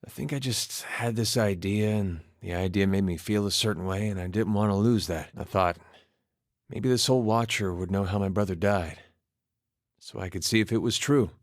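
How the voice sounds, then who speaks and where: A man's voice: lowish voice, moderate pace, dry recording, clean studio sound, close to an everyday voice. A man voicing a laid-back, wry farmer turned soldier in a video game, with a plainspoken, understated folksy manner. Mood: hesitant, wistful. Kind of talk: performed game dialogue.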